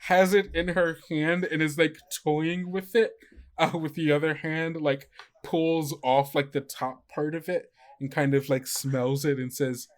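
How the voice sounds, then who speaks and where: A man acting as game master, narrating an improvised tabletop game in a halting, conversational way. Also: on the high side, fairly even intonation.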